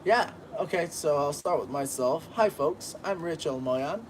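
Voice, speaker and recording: A man's voice: a little high, a little slow. Laid-back man on a noisy balcony. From Los Angeles. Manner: relaxed, joking. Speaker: guest. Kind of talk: casual livestream chat. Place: apartment balcony by a busy street. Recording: outdoor street noise. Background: street traffic.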